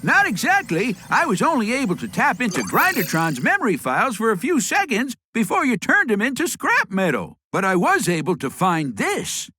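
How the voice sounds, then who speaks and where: A woman voicing a perky, matter-of-fact young female tech helper in a cartoon game cutscene, slightly husky, chirpy and informal. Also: fairly high pitch, huge pitch swings, moderate pace, few pauses, bright, forceful tone, dry recording, heavily acted. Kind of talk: animated game cutscene acting.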